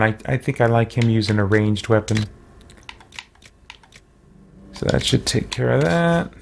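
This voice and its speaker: A man's voice: medium pitch, creaky, a little hiss or hum. A man giving casual video game commentary, thinking aloud and muttering to himself as he plays. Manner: muttering to himself while working through menus. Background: game music, keyboard clicks.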